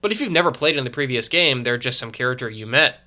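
Man, congested, stuffy, bright sharp tone, podcast guest, measured, casual talk. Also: medium pitch, animated. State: congested from allergies.

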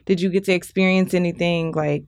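A woman's voice: lowish voice, fairly even intonation, brisk, a little mellow, dry recording. A woman co-hosting a casual chat, speaking in an informal, slangy way. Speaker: co-host.

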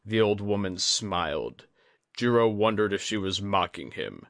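A man reading scripted fantasy fiction as an audiobook narrator, in a measured, literary manner. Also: on the low side, animated, creaky, a bit bright, dry recording, studio quality.

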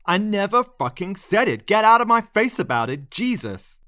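A man's voice: deep voice, moderate pace, a bit bright, dry recording, studio quality. A man acting out a cold, exasperated man rejecting a lover, with firm, clipped delivery in a dramatized monologue. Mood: furious.

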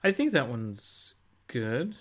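A man's voice: mid-range voice, very animated, takes it slowly. A man co-hosting a podcast, talking casually about video games. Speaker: podcast co-host.

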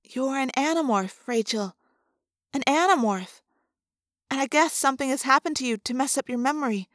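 Woman voicing an earnest, high-voiced young girl. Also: steady tone, very fast, pushed and bright, dry recording, clean studio sound. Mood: earnest, calming. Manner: earnest, pleading. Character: short young girl, loyal, worried, steadying friend.

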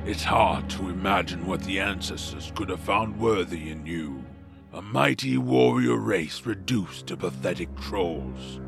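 A man's voice: sits low, unhurried. A man playing a proud, scornful orc warrior in an audio drama, speaking formally and pompously over music. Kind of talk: family audio drama acting. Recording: voice under clear background music.

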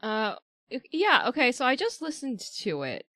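A woman's voice: high-pitched, huge pitch swings, moderate pace, pushed and bright, no echo, studio quality. A woman co-hosting a podcast in casual chat, with a playful, giggly manner. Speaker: podcast co-host.

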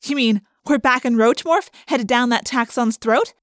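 A woman's voice: on the high side, normal speed, no echo, studio quality, lightly acted. A woman voicing an outspoken, combative teenage girl in an audiobook, emphatic and challenging.

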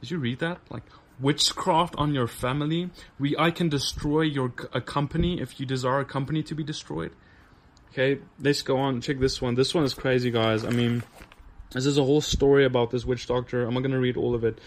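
Male minister, earnest direct-to-camera talk, halting repeats. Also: mid-range voice, fairly even intonation. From South Africa. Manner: earnest, repeats words often when searching for them. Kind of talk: casual video talk to viewers.

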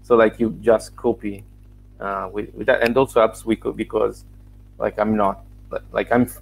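Gravelly-voiced man explaining. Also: on the low side, level tone, normal speed, low-quality recording. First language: Italian. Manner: explanatory, walks through examples step by step. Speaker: guest, software founder. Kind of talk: casual interview answers.

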